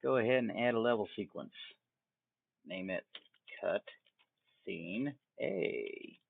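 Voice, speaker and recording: A phone-like, dry recording carries a man hosting a casual software tutorial. His lowish voice is thick with fry. He takes his time through each step, informal and folksy, as if thinking aloud. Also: lively intonation, slow, no echo. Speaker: tutorial host. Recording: tinny, phone-like narrow band.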